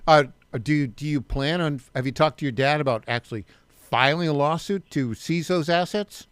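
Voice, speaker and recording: A male radio host interviews a guest, firing off short questions and often restarting them partway through. His voice sits a bit higher than most men's and sounds close and dry, with no room around it. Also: moderate pace, clean tone, dry recording. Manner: probing questions, stumbling restarts.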